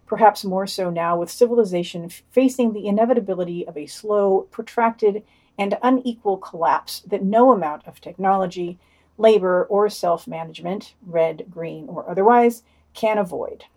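Female podcast co-host, medium pitch, scripted news digest read aloud. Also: normal speed, mellow.

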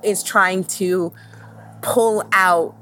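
A woman hosting a casual group discussion, thinking aloud in a halting way and repeating phrases as she reasons. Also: mid-range voice, steady tone, pushed and bright. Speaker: podcast host.

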